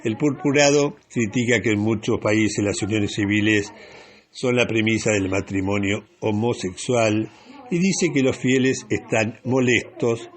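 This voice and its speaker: A man with a Rioplatense Argentine accent hosting a radio talk, delivering opinionated editorial commentary in a deliberate, emphatic manner. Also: lowish voice. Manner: opinionated, deliberate, with emphatic repetition and rhetorical questions.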